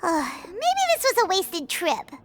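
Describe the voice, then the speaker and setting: Squeaky, very high little fairy. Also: female voice, wildly swooping pitch, slow pace, full character voice. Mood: puzzled. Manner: squeaky, wide-eyed, exaggerated. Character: tiny childlike floating fairy companion, curious and excitable. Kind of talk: video game voice acting. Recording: game music behind.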